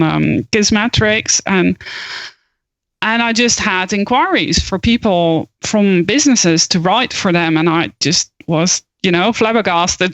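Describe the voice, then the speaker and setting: A woman with a Dutch accent, English not her first language, talking through her career in a thoughtful, hesitant way. Her voice sits in a middle pitch. The recording is dry, with no room echo. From Netherlands. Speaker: guest, copywriter and coach. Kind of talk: podcast interview.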